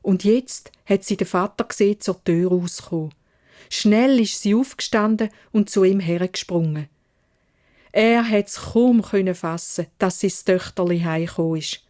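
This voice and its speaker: A woman's voice: mid-range voice, warm tone. A woman with a Swiss German accent narrating a fairy tale for children in dialect, as a storyteller.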